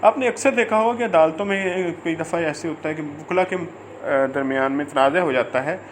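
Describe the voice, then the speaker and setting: A man with a Pakistani accent giving an informal law lecture, halting and repeating phrases as he reads out and explains. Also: fairly high pitch, a little hiss or hum. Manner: halting, repeats phrases, stretches out English words when reading. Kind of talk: informal lecture, reading English statute and explaining it.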